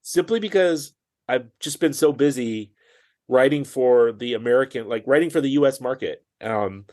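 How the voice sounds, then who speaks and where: A man's voice: medium pitch, normal speed, a little mellow. A man speaking as a podcast guest in casual conversation, chatty, with frequent fillers and restarts. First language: English.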